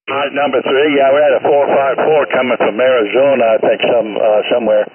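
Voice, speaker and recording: A man's voice: mid-range voice, little pitch movement, a little fast, slightly rough. Tinny CB radio, boastful old man. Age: 80s. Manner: folksy, boastful. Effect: CB radio transmission. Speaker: CB radio operator. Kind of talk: amateur radio chatter with broadcast-style catchphrases. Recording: narrow tinny CB radio, static hiss.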